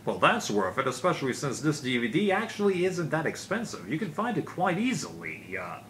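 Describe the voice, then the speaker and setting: A man's voice: a little high, sing-song, fairly quick, fairly bright tone. A man giving a casual, unscripted review as a video host, explaining things in a rambling way. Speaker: video host, DVD collector. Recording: clear background noise.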